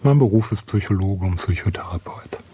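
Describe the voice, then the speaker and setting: A man's voice: low voice, a little fast, mellow, slightly rough, dry recording. A man in his fifties, a psychotherapist, thoughtfully recalling an experience in an interview. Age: 50s. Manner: thoughtful, storytelling. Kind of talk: interview recollection.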